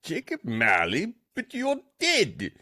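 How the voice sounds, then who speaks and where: A man acts a nervous old miser in a theatrical radio drama. He stammers and blusters at a slow pace, his pitch leaping wildly. He is skeptical, fearful and pleading. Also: huge pitch swings, bright, forceful tone, exaggerated voice. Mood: skeptical, blustering. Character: frightened, skeptical old miser facing a ghost. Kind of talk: theatrical radio play acting.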